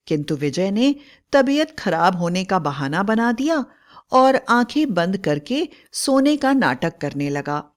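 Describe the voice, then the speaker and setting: A woman narrator tells a scripted tale. She takes it very slowly, at a medium pitch for a woman.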